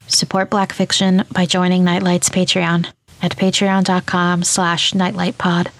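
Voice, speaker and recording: A woman reading scripted podcast announcements in a measured, clearly enunciated way. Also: on the low side, completely flat delivery. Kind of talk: scripted narration.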